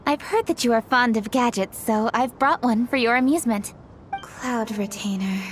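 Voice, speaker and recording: A woman voicing a self-assured young female official in a game, brisk and confident. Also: on the high side, a little hiss or hum, close to an everyday voice. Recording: reverberant, with game music.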